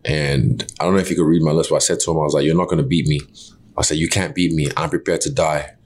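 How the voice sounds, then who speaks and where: A man with an extremely deep voice whose first language is Yoruba talks as a guest in a casual interview. He speaks with intensity and emphasis and swears loosely. He sounds like a fighter in his thirties. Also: steady tone, quick pace, darkish tone, a little hiss or hum.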